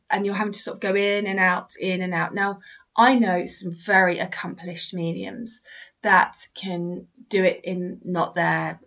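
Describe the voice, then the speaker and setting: A woman's voice: medium pitch, a bit bright. A British woman hosting a podcast, answering a listener's question in a chatty, self-deprecating way. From Britain. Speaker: podcast host, mediumship teacher.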